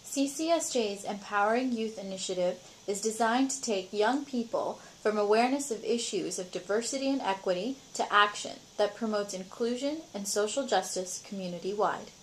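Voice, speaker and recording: A woman giving clear, measured scripted narration for a nonprofit's promotional video. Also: medium pitch, a little hiss or hum, low-quality recording.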